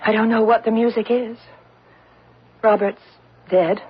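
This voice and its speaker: A woman's voice: on the high side, fairly even intonation, a little slow, breathy edge. An actress playing a once-timid lady, now guarded and knowing, in a radio drama. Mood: guarded, stunned. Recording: dull lo-fi old radio recording.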